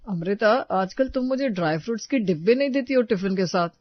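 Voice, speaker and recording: A man playing disgruntled, fussy men of a household in an animated family story. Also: high-pitched, brisk, no room sound, muffled recording. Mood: irritated. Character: disgruntled husband and fussy elderly father-in-law of a household.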